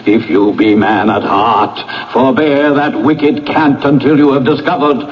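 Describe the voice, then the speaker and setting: An actor playing a stern, solemn male Christmas spirit in a radio drama, speaking gravely and with admonishment. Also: mid-range voice, measured pace, natural voice. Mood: grave, rebuking. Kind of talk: old-time radio drama acting. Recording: muffled vintage radio broadcast, faint noise.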